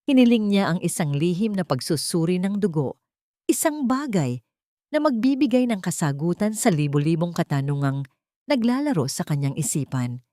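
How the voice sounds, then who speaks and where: A woman narrating a scripted dramatic story in a measured, solemn manner. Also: fairly low pitch, sing-song, warm tone, no room sound, studio quality.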